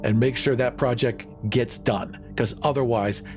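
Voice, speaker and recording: A man with a mid-pitched voice talks through a tabletop roleplaying game. He improvises conversationally, slipping into character, with faint music underneath. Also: fairly even intonation, unhurried, darkish tone. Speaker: player in a tabletop roleplaying show.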